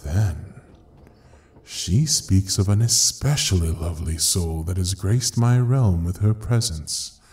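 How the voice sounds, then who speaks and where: A man playing a sinister, grandiose ruler of hell in an audio drama, mocking and seductive, with theatrical, drawn-out pauses. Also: sits low, lively intonation, darkish tone, exaggerated voice. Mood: smug, sinister. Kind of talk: dramatic audio roleplay acting.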